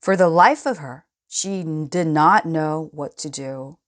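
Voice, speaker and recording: A woman reading a children's storybook aloud in an expressive, deliberate way. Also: deep voice. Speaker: storybook reader.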